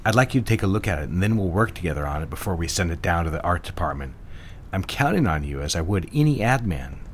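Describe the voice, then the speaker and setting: A man playing a smooth, patronizing ad executive boss in a period office drama, assured and condescending. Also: sits low, animated, fast talker, a little hiss or hum. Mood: cool, businesslike.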